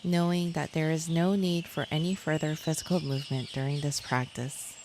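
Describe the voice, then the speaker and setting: Low-pitched woman guiding meditation over cricket sounds. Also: fairly even intonation, darkish tone. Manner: measured, soothing instruction. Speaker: yoga nidra guide. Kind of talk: scripted guided meditation. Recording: clear insect and nature noise behind.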